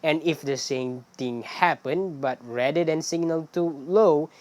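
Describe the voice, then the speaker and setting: A woman with a low-pitched voice hosts a casual explainer. She speaks non-native English with loose grammar. Her delivery is animated and often poses questions that she then answers. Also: measured pace. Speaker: video host.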